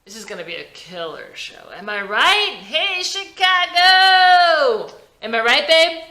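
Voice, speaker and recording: A woman acting out a rowdy, drunken male rock singer, crude and goading, with loud taunts and drawn-out shouts in a script reading. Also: high voice, sing-song, pitched above the performer's own voice, full character voice. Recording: roomy echo.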